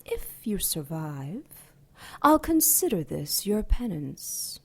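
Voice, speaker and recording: An actress playing a merciless, coldly seductive demon goddess in an audio drama, commanding and deliberate. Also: female voice, fairly low pitch, very animated, husky, heavily acted. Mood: cold, menacing.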